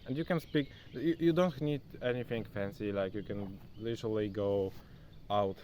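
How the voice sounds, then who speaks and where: A man's voice: fairly low pitch, moderate pace, darkish tone, a little hiss or hum. A young man who is not a native English speaker, vlogging casually outdoors into his phone, chatty and loose, calling the viewer "bro.". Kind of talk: casual self-recorded vlog talk. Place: outdoors, rural spot near a forest. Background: faint insects and outdoor nature sounds.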